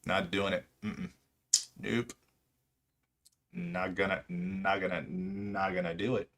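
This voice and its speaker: A man in his late thirties, from Poland and raised in California, chatting casually and unscripted on a livestream in a halting, self-deprecating, rambling way. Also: low voice, fairly even intonation, vocal fry, fairly bright tone. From Poland until age seven, then southern California. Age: late 30s. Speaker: livestream host, amateur retail trader.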